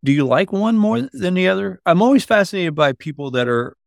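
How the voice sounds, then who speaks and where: A man casually interviewing a guest, curious and halting, rephrasing his questions mid-sentence. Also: high voice, big pitch swings, creaky, no room sound, studio quality. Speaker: podcast interviewer.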